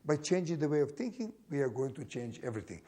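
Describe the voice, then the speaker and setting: A man with a Serbian accent, a non-native English speaker counseling someone, pausing often and searching for his words. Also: a little high, big pitch swings, dark tone. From former Yugoslavia. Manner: halting, searching for words, full of fillers. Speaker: mentor and judo teacher. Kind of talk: counseling conversation.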